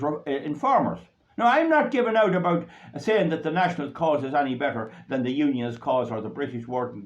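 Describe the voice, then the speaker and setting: An Irish man giving a blunt, rambling political talk to supporters. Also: on the high side. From Ireland. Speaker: political activist. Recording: dull lo-fi recording.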